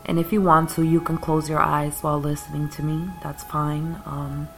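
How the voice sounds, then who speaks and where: A woman's voice: low-pitched, normal speed, gravelly, slight background noise. A woman hosting her own podcast, talking in a rambling, ranting way about spirituality and growth, now and then coughing as she smokes. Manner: rambling, ranting, self-described calming voice; occasional coughs while smoking. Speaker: podcast host. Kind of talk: casual spiritual monologue.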